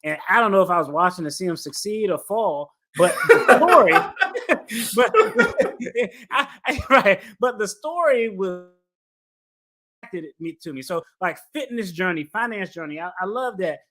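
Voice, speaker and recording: Male interview host, high-pitched voice, informal and slangy, easy conversational delivery. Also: big pitch swings, measured pace, sharp tone. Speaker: podcast host interviewing a guest. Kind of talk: casual interview chat.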